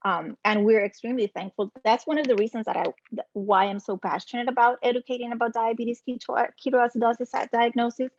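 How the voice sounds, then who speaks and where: A middle-aged woman from Puerto Rico with a Puerto Rican Spanish accent, a native Spanish speaker, telling her family's story as an interview guest in an earnest, measured way with frequent ums. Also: on the high side, steady tone, dull audio, a little hiss or hum. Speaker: guest, nonprofit program manager.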